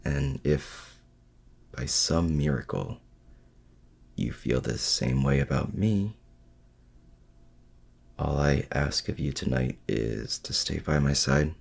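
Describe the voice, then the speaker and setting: Man acting a very deep, gravelly lovestruck villain. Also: exaggerated voice. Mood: tender, protective. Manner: halting, earnest, with hesitant restarts. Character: lovestruck male supervillain, vulnerable, protective, confessing. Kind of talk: intimate ASMR audio roleplay.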